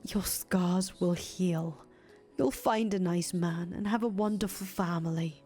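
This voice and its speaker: A woman voicing a soft-spoken young man condemned as a heretic, talking quietly in breathy whispers. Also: medium pitch, normal speed, soft background music, natural voice. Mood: whispered farewell. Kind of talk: audio drama acting.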